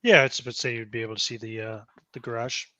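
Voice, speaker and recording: A man runs a tabletop game with brisk, matter-of-fact rulings. His voice is bright and forceful at a middle pitch. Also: steady tone. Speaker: game master.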